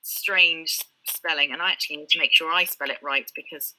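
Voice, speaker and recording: A woman's voice: deep voice, fairly even intonation, brisk, bright, forceful tone, rough recording. A British woman tutor explaining things in an online live session, with frequent ums and light laughs. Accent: British English. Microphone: video call.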